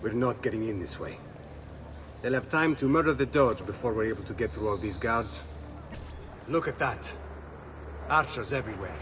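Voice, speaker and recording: A man's voice: mid-range voice, lively intonation, moderate pace, long pauses, a bit bright, slight background noise, close to an everyday voice. A man playing a loyal, wry leader of thieves with an Italian accent, in a video game scene. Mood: scheming. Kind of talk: video game cutscene acting.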